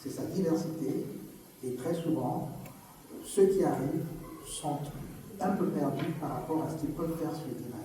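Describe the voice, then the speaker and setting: A man's voice: fairly high pitch, moderate pace, dark tone. A man speaking at a public meeting, hesitant and full of fillers as he states his commitment. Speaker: candidate. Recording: echoey hall, mic far off, faint noise.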